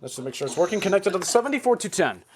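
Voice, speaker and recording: A man with a fairly high voice talks casually and offhandedly, as a hobbyist presenting a collection on video. The recording is noisy, with clicks and clear background noise around his voice. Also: sing-song, very fast. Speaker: hobbyist showing a radio collection. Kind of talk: casual show-and-tell vlog.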